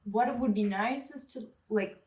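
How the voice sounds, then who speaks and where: A woman's voice: a little high, normal speed, darkish tone. Wry American woman in an echoey room. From United States. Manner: wry, playful, quips. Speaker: podcast co-host. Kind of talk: casual chat.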